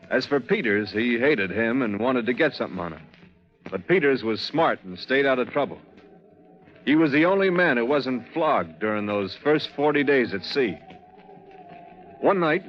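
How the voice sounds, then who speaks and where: An actor in a 1940s radio drama playing an earnest, steady seaman who tells his voyage in a measured, plainspoken way. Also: medium pitch, natural voice. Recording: dull, lo-fi 1940s radio recording.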